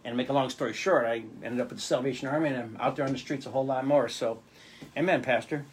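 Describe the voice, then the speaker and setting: A man's voice: mid-range voice, fast, slight background noise. A man hosting a gospel radio interview show in a studio, affirming his guest with frequent amens. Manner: affirming, peppers talk with amens. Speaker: pastor and show host.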